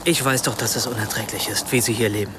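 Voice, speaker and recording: An actor playing an earnest, self-doubting writer in a radio play, hesitant and searching for words. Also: male voice, on the low side, fairly even intonation, fast, background noise, natural voice. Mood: earnest, urging. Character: earnest, self-doubting German male writer, apologetic and probing. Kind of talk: naturalistic radio play dialogue.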